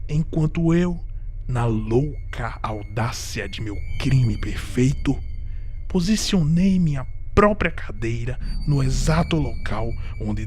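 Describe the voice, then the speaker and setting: A Brazilian man playing a guilt-ridden, unhinged murderer who narrates a gothic horror audio drama in a theatrical, intense way. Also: mid-range voice. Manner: theatrical, intense, swinging from hushed to shouting.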